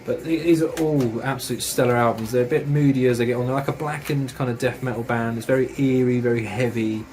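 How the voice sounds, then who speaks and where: A man's voice: medium pitch, fairly even intonation, fast, darkish tone, soft background music. Chatty man raving about metal. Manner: enthusiastic, chatty, with British slang and swearing. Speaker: metal music reviewer. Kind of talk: casual review of records and tapes.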